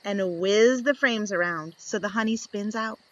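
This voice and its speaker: A woman reading a children's picture book aloud, slow and lively, lifting into high voices for the questions. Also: dry recording, low-quality recording. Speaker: storybook reader.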